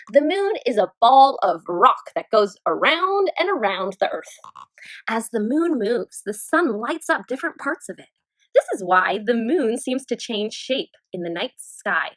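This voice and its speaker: A woman teacher reading a children's nonfiction book aloud in an expressive storytime manner. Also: a little high, no room sound, rough recording.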